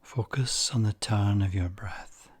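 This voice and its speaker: A man guiding a meditation, speaking softly and calmly, some phrases nearly whispered. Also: on the low side. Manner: soft-spoken, calm and unhurried, with breathy near-whispered phrases. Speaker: meditation guide. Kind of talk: slow guided meditation.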